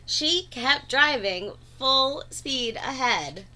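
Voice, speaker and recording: A woman reads a children's story aloud in a high, bright voice. Her pitch swings widely as she leans on key words with dramatic emphasis. The delivery is that of a teacher reading to young listeners. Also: animated, extremely slow, poor audio. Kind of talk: expressive storybook read-aloud.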